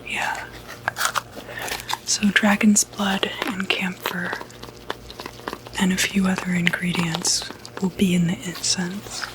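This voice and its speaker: A woman whispering softly and breathily in an unhurried, hesitant ASMR roleplay as a doctor. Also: lowish voice, flat delivery, heavy background noise. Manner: soft-spoken, unhurried, hesitant pauses. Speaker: ASMR performer role-playing a doctor.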